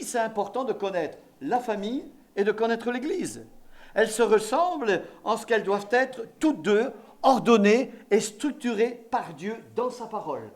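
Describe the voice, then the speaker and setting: Higher-voiced male preacher from eastern France. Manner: exhorting, repeating key phrases for emphasis. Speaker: visiting evangelical pastor preaching. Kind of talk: sermon.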